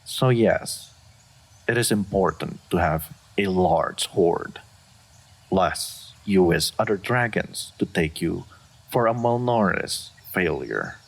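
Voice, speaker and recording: A man playing a deep, gravelly, aloof dragon. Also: exaggerated voice. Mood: cool, reserved. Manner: formal, reserved, measured, deadpan. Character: aloof, lonesome male dragon in human form. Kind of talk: scripted fantasy roleplay audio addressed to the listener.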